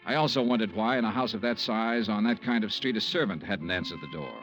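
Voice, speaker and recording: An actor playing a shrewd, terse insurance investigator in a 1950s radio drama, narrating in a clipped, dry manner. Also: male voice, fairly low pitch, quick pace, lightly acted. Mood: wry, observant. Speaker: lead actor and narrator of a radio detective serial. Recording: old radio broadcast, loud music behind.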